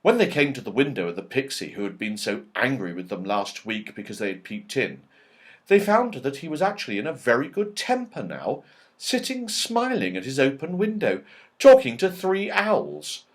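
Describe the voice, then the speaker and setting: A man narrating a children's storybook as a reader, with expressive delivery, dramatic pauses and strong emphasis. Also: medium pitch. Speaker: audiobook reader.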